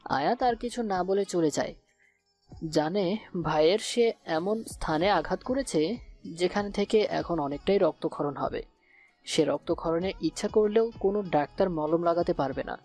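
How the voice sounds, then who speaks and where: Female narrator for romantic audio fiction, soft dark tone, fairly low, light music bed. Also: normal speed. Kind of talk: scripted romantic story narration. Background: faint background music.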